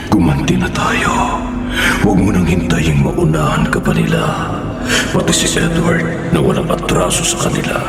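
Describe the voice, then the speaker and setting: A man playing a sinister dark spirit in a radio horror drama, commanding and menacing as he urges revenge. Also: extremely low pitch, vocal fry, heavily acted. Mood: menacing urging. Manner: commanding, menacing, drawn-out insinuating delivery. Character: sinister male dark spirit urging a girl to take revenge. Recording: roomy, distant voice under loud music.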